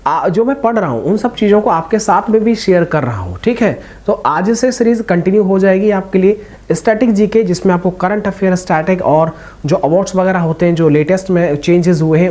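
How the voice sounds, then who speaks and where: Mellow-voiced young man giving casual advice. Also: sits high. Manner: informal, reassuring, addressing listeners as brothers. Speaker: exam-preparation video host. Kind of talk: casual motivational advice to exam candidates.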